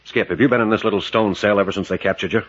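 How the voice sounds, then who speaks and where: An actor playing a level-headed, resolute adventure captain, full of probing questions, in 1940s radio drama style. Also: male voice, lowish voice, fairly even intonation, racing, natural voice. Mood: questioning. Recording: muffled vintage radio recording.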